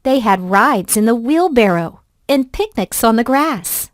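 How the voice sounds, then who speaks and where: A woman reading a children's story aloud in an expressive, deliberate storybook manner. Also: fairly high pitch, no echo, clean studio sound.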